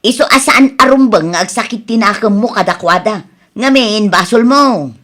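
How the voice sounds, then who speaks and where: A woman's voice: medium pitch, lively intonation, moderate pace, a bit bright. A woman playing a blunt, nagging village wife in a comedic radio drama, scolding and exasperated in a conversational way.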